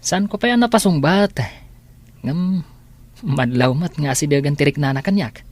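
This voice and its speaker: Sly, low-voiced female student schemer, acted. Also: normal speed, darkish tone. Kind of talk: radio drama acting.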